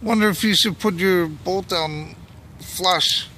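A man's voice: high voice, slow, pushed and bright. A man, chatting casually and informally as he films an outing outdoors. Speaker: vlogger filming a night magnet fishing outing. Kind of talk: casual narration and chat while filming. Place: outdoors on a bridge walkway at night. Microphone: handheld camera or phone held by speaker. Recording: noisy outdoor recording with ambient hum.

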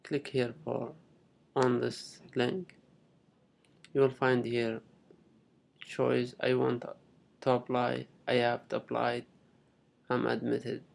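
A young man from Saudi Arabia with a Saudi Arabic accent, a native Arabic speaker, giving a halting, unscripted step-by-step screen tutorial in simple phrases. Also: medium pitch, monotone, gravelly. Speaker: international student giving a tutorial. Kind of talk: unscripted screen-recording walkthrough.

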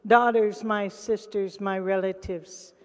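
An older woman with an Oklahoma accent speaks slowly and solemnly on a festival stage into a handheld mic, pausing for an interpreter. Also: medium pitch, steady tone. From Oklahoma, United States. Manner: slow, solemn, measured phrases. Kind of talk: speech interpreted line by line. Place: large festival stage, indoors. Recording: live hall with long reverb.

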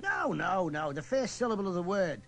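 A man's voice: sits high, expressive, normal speed, fairly bright tone, a little hiss or hum. A man hosting a radio quiz with casual, teasing and exasperated banter, prone to laughter.

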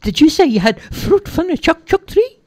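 A storyteller puts on a tiny, curious storybook pixie. The voice is very high and squeaky, full of eager interest. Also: very animated, moderate pace, no echo, clean studio sound. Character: small, eager, curious pixie named Smirch.

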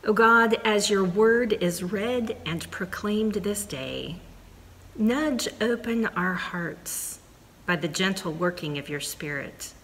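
A middle-aged woman pastor leading a prayer and reading scripture in a measured, deliberate way, on a lapel mic in a church sanctuary. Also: lowish voice, fairly bright tone. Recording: reverberant sanctuary, faint room noise.

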